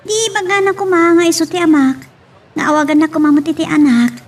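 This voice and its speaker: Casting: woman as chatty, earnest schoolgirl, extremely high youthful voice, radio-style drama, off-mic with slight noise. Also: fairly even intonation, brisk, natural voice. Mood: puzzled, confiding. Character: earnest, hopeful schoolgirl daughter, dutiful and chatty. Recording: distant mic with faint noise.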